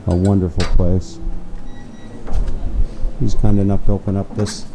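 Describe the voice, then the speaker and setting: A man giving an upbeat, chatty walking tour of a hotel, often pausing with "uh" and repeating himself. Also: sits low, level tone, noisy background. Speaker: travel vlogger touring a hotel. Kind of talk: casual walking tour narration. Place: hotel breakfast hall and lobby, indoors.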